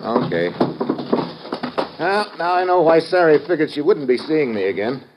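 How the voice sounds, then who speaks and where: An actor playing a tough, wisecracking American secret agent in an old-time radio drama, talking in a casual, slangy, wry way. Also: male voice, a little high, sing-song, moderate pace. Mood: wary. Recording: faintly hissy vintage radio recording.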